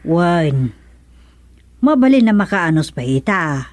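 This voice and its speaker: A woman's voice: fairly low pitch, big pitch swings, takes it slowly, darkish tone, dry recording. A woman playing a concerned, mildly disapproving married woman, conversational, in an Ilocano radio serial drama.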